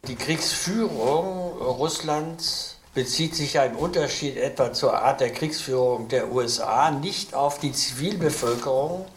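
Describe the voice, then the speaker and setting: A man from Cologne answering interview questions in a measured, lecturing way, with asides and restarts. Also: mid-range voice, reverberant, a little hiss or hum. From Cologne, Germany. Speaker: interview guest.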